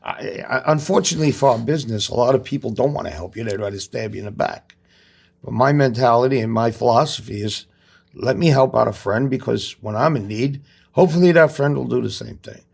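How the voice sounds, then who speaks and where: Gravelly, husky-voiced man in casual talk. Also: medium pitch, brisk, darkish tone. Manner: earnest, speaks in life lessons. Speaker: actor guest. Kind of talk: casual podcast chat.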